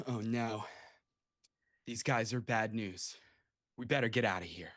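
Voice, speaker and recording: A man's voice: lowish voice, slow, a bit bright. A man playing a savvy, blunt young boy, a jaded little brother, in a live comedic table read. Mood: alarmed, amazed.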